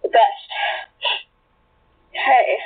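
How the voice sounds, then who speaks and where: An actress playing a brazen, hard-drinking, flirtatious woman in an audio drama, cool and teasing. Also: very slow. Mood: playful. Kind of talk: naturalistic audio drama acting. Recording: muffled narrow-band sound, faint music bed.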